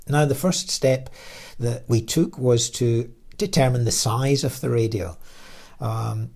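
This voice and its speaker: A man with a medium-pitched voice gives an explanatory technical lecture. He speaks as an engineer walking through slides. His delivery is halting, often pausing partway through a phrase before carrying on. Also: darkish tone. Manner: halting delivery with frequent pauses mid-phrase. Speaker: radio designer and engineer presenting.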